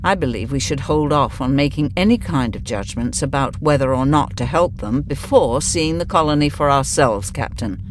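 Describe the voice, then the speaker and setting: A man's voice: mid-range voice, expressive, normal speed, hardly any pauses, slight background noise, close to an everyday voice. A man playing a cautious starship officer in a sci-fi audio drama, precise and formal. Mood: cautious, businesslike.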